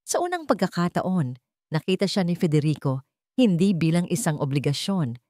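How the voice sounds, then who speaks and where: A woman's voice: lowish voice, sing-song, moderate pace, mellow, dry recording, clean studio sound. A woman narrator reading a scripted romance story in a dramatic storytelling style.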